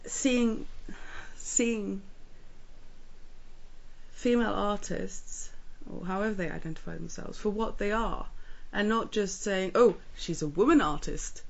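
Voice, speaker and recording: A woman interviewing in a casual chat, speaking earnestly and in a measured way. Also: on the low side, gravelly. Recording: dull lo-fi sound.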